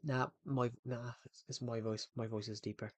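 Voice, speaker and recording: A man's voice: mid-range voice, level tone, moderate pace, no room sound. A man chatting casually on a game livestream, rambling and often repeating and restarting his phrases. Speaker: gaming streamer. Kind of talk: casual livestream chat while playing.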